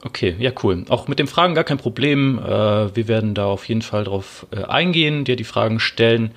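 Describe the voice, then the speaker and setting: A young man in his twenties hosts a relaxed podcast chat, his voice sitting a little low. He often pauses and fills the gaps with small hesitation sounds as he searches for words. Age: 20s. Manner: hesitant, many filler sounds. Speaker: podcast host. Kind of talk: casual podcast conversation.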